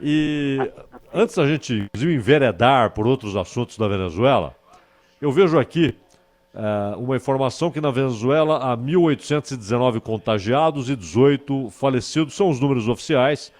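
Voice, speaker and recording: A man's voice: medium pitch, moderate pace, a little mellow. Brazilian man hosting a radio interview. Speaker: radio show host.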